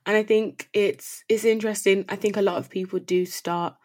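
A woman's voice: mid-range voice, fairly even intonation, normal speed, a little mellow. A woman hosting a conversational podcast and interviewing a guest, with an effusive, appreciative manner.

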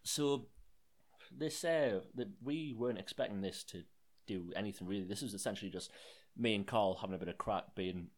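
A man's voice: lowish voice, moderate pace. A young man co-hosting a casual podcast, with a dry manner and casual swearing. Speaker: podcast co-host. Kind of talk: casual chat.

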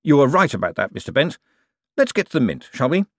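A smooth male rogue turned banker voiced by a male narrator in a comic audiobook. He is brisk, polite and persuasive, with lively, swooping intonation in a dry recording. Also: medium pitch, no room sound, voice pushed up. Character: quick-witted male swindler turned bank official, smoothly polite.

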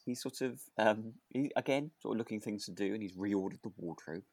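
A man's voice: lowish voice, fairly quick, darkish tone, no room sound. A man co-hosting a podcast in casual chat, rambling along in a hedging, chuckly way. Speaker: podcast co-host. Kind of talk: casual chat reviewing a radio drama.

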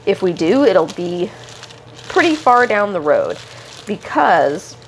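A young woman hosting a podcast, hoarse and stuffed up from illness, rambling casually with lots of fillers and apologies while walking around her house on a headphone mic. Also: medium pitch, sing-song, slow pace, a little hiss or hum, low-quality recording. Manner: meandering, many fillers and apologies, casual swearing. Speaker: podcast host. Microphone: headphone mic into phone.

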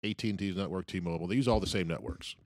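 A man's voice: low voice, quick pace, darkish tone, no echo. A man co-hosting a podcast who lays out theories and brings up new topics in casual chat.

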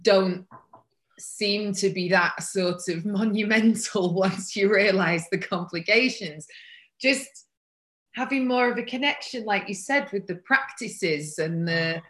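A woman's voice: mid-range voice, takes it slowly, fairly bright tone. A woman hosting an interview, supportive and chatty, often murmuring agreement. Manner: supportive, frequent back-channel agreement. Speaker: podcast host. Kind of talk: conversational interview.

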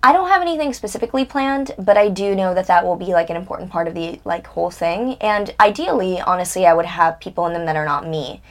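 A young woman in her twenties speaks as an interview guest in casual conversation. Her voice sits somewhat low for a woman. She talks bluntly and candidly and swears without hesitation. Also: quick pace, hardly any pauses. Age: 20s.

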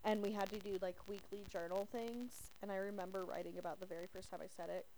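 A young woman from the southern United States co-hosts a podcast in a medium-pitched voice. Her talk is casual and conversational. The homemade recording has faint noise. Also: steady tone, quick pace, a little mellow. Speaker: podcast co-host. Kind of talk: casual chat. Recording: noisy amateur podcast recording.

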